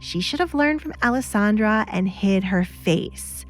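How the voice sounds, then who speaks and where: A woman's voice: medium pitch, lively intonation, a little slow, soft background music, natural voice. A woman voicing a spoiled, haughty young noblewoman in an audio drama, whining and indignant. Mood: disdainful.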